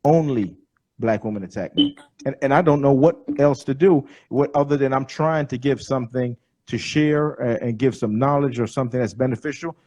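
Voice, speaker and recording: A man's voice: mid-range voice, moderate pace, darkish tone, dull audio. A man on a debate panel speaking bluntly about being attacked online.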